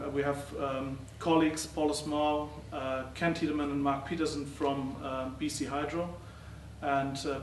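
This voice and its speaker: A middle-aged man giving a conference talk as a professor presenting research, speaking haltingly with many uh and um fillers. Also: a little high. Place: lecture hall. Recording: roomy, distant, faint noise.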